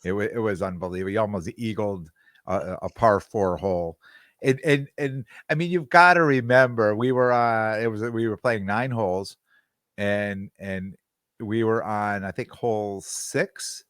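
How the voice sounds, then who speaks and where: Low-voiced middle-aged man, rambling chat. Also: normal speed, fairly bright tone, no echo, clean studio sound. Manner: rambling, repeats words while searching for them. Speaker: podcast co-host. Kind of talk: casual chat.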